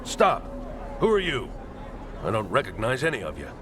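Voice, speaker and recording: An actor playing a lowly cultist guard in a fantasy game, officious but nervous and easily cowed. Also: male voice, wildly swooping pitch, normal speed, crowd noise, background noise. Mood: officious, suspicious. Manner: stammering, nervous, deferential.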